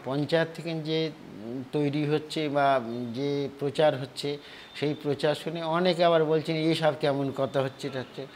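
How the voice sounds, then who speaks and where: A man's voice: on the high side, little pitch movement, moderate pace, noisy background. A man with a West Bengal accent giving explanatory interview remarks.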